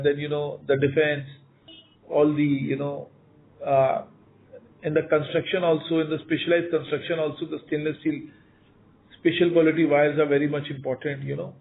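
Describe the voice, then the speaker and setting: A man's voice: on the high side, steady tone, normal speed. A man with an Indian accent answering analysts as a company executive on an earnings call, emphatic, repeating words and often saying "you know". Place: conference call over phone line. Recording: narrow tinny phone-line audio.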